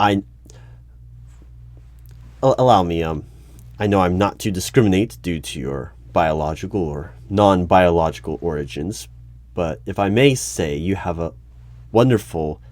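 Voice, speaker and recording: A man playing an awkward, officious hiring manager in an ASMR interview roleplay, speaking formally with halting stammers and over-polite phrasing. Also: sits low, darkish tone, dry recording. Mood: awkwardly flattering. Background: computer keyboard typing.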